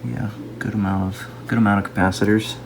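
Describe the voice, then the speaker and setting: A man narrating a casual hands-on restoration video as a hobbyist, musing aloud to himself in an unhurried way. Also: fairly low pitch, level tone, background noise.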